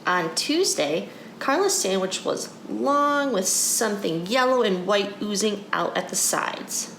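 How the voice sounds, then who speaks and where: A woman's voice: mid-range voice, animated, a little slow, a bit bright. A woman reading a picture book aloud for children as a storyteller, addressing them directly. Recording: echoey room with background noise.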